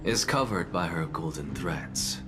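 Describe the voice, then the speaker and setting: Haughty, embittered scholar for a game: very low voice, dry sarcasm, measured delivery, prominent music behind. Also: male voice, expressive. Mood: bitter, sardonic. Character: haughty, bitter, ailing male scholar.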